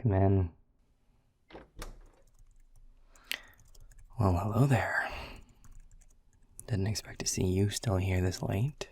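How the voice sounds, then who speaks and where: A man's voice: fairly even intonation, unhurried, a little mellow, no room sound, full character voice. An actor playing a world-weary, sarcastic male middle manager who grumbles and teases dryly. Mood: dry grumpy. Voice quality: low gravelly husky voice. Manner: sarcastic, grumbling, dry teasing. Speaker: boss giving a farewell speech. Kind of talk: audio roleplay acting. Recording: dry close mic.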